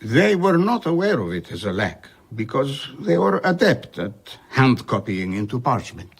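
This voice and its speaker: An actor playing an older man, a husky-voiced traveller reflectively telling of his youth in a radio drama. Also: mid-range voice, big pitch swings, moderate pace, heavily acted. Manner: reflective storytelling.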